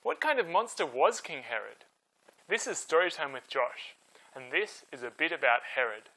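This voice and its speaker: Male storyteller host, higher-pitched and very animated, conversational but emphatic scripted history narration. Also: moderate pace, rough recording.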